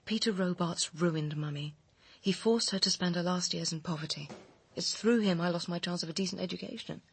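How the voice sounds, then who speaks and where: Bitter, husky British woman. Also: lowish voice. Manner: pressing, cutting, articulate. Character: bitter, persuasive young female personal assistant with secrets. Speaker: radio drama actress. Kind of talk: radio crime drama acting.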